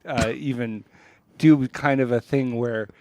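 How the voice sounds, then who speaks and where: A man at a middle pitch chats on a comedy podcast in a dry, close recording. He feels his way through explanations haltingly, with a mock-scholarly turn to his banter. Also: steady tone, a little mellow, dry recording.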